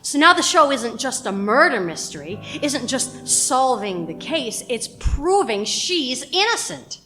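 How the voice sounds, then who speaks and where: A woman's voice: high-pitched, big pitch swings, measured pace, pushed and bright, faint music behind, heavily acted. A woman playing a ruthless, persuasive radio station schemer with an assertive, emphatic delivery in a radio play. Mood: excited scheming.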